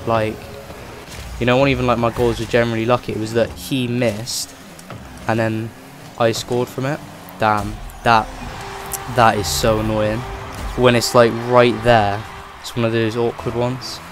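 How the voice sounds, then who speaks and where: A man rambles casually through his own gameplay, his voice rough and a little off from a cold. His delivery stays fairly flat and level. Game music plays clearly underneath him. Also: medium pitch, unhurried, long pauses. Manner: rambling, chatty, many "like" fillers. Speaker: gaming channel host.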